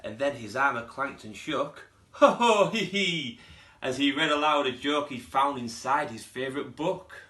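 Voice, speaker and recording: A man reads to young children like a schoolteacher, with expressive storytelling. His voice is bright and forward, at a middling pitch, and the room around him is noticeably echoey. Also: moderate pace, few pauses. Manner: expressive, putting on character voices. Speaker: primary school teacher reading to pupils. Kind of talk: children's storybook read-aloud.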